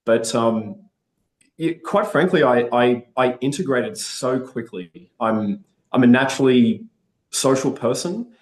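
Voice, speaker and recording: A man's voice: mid-range voice, a little slow, reverberant. A man from Australia with an Australian accent, chatting upbeat and optimistic as a guest in an interview. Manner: upbeat, chatty, frequent restarts. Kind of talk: casual interview.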